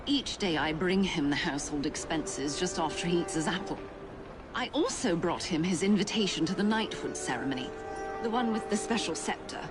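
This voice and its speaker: An actress playing a dutiful, faintly resentful wife in a game cutscene. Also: female voice, on the low side, expressive, moderate pace, over music, close to an everyday voice. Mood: quietly resentful. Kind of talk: acted video game cutscene dialogue.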